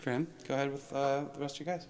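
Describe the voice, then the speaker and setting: A man's voice: medium pitch, fairly even intonation, rapid-fire, a little mellow, a little hiss or hum. A man with a mid-range voice hosts a tabletop game session. He explains play in a casual, playful way, chatting with the other players at the table. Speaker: host teaching a tabletop game. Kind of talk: casual chat during tabletop gameplay. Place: indoors around a game table.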